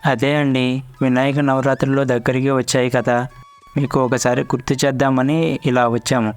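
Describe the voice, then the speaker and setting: Male actor as a village elder, mid-pitched, earnest, respectful, cartoon story, faint music. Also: level tone, fairly quick, clear, resonant tone, lightly acted. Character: dutiful village elder, respectful and plain-spoken. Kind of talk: Telugu cartoon story dialogue.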